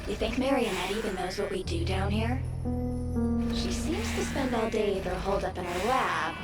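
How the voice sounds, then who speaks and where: A woman's voice: mid-range voice, animated, normal speed, lightly acted. Actress as echoey, grumbling woman guard. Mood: idle, grumbling. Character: idle woman guard grumbling about her post. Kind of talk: video game voice acting. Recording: echoey room under loud music.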